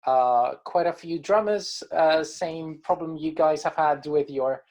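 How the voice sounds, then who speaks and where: Man, hesitant and halting. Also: fairly high pitch, darkish tone. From Caribbean coast of Colombia. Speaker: band musician being interviewed. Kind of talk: casual interview chat.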